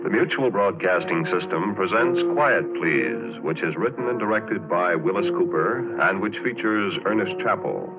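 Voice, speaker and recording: Low, animated 1940s radio announcer, male. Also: normal speed, hardly any pauses. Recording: muffled vintage radio broadcast, loud music behind. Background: loud music.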